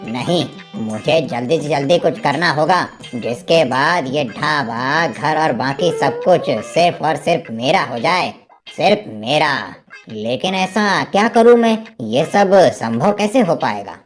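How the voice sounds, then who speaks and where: A woman's voice: sits low, lively intonation, slow. A woman takes on a greedy cartoon man, a jealous elder brother. He schemes and broods with self-important bossiness, with faint music underneath. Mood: brooding, scheming. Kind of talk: animated moral story voice acting.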